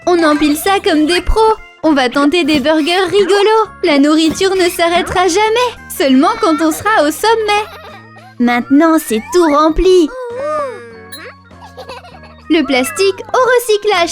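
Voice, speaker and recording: A woman voicing an excitable little lion cub boy in rhyming, exclamatory lines for a children's cartoon. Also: extremely high pitch, measured pace. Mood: excited. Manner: exclamatory, sing-song rhyming. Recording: children's music behind the voice. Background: children's music.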